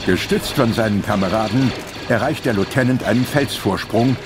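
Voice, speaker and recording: A man's voice: fairly low pitch, normal speed, background noise. A man narrating a scripted audio drama as the storyteller.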